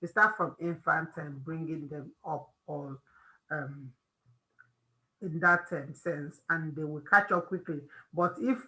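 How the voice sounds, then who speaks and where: A woman's voice: fairly low pitch, bright, forceful tone, low-quality recording. A woman with a Nigerian accent hosting an informal faith-based advice talk, emphatic and repeating phrases for stress. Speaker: host of a family discussion.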